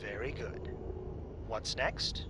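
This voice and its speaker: A performer voicing a calm, patient pilot and mentor in an audio drama, giving clipped, encouraging replies. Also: mid-range voice, takes it slowly, background noise, close to an everyday voice.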